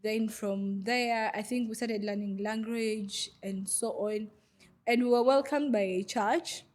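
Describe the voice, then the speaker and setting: A woman's voice: fairly high pitch, fairly even intonation, measured pace, darkish tone. A young woman from Goma in the DR Congo, a podcast guest, who tells her story in a hesitant way with many fillers. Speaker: guest, refugee vendor. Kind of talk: podcast interview.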